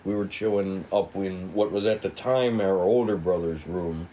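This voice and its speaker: A man getting over a cold, co-hosting and explaining in a casual, rambling, halting way. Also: deep voice, steady tone, warm tone, slight background noise. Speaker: co-writer and co-host introducing a script table read.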